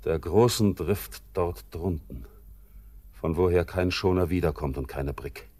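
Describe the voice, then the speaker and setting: An actor playing a world-weary, grieving young sailor in a 1940s German radio play, declaiming poetically with heavy pauses. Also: male voice, low voice, mellow. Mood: despairing, resigned.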